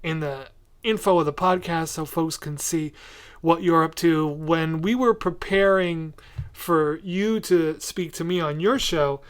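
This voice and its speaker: A man's voice: on the high side, a little slow, clear, resonant tone. A man hosting a podcast, chatting casually with a guest in an interview style. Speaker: podcast host.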